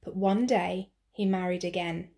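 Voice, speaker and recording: Expressive woman reading a storybook. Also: lowish voice. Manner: slow, clear and expressive. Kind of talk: picture-book read-aloud.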